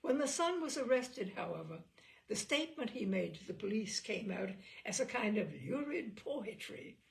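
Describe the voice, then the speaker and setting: Wry woman reading stories, gravelly lilt. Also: fairly high pitch, sing-song, moderate pace. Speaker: reader of stories. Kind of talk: storytelling reading.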